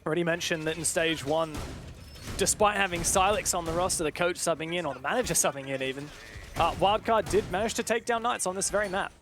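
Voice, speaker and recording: A man's voice: sits high, fast, music in the background. A man doing live play-by-play commentary on an esports match in clipped, dramatic phrases.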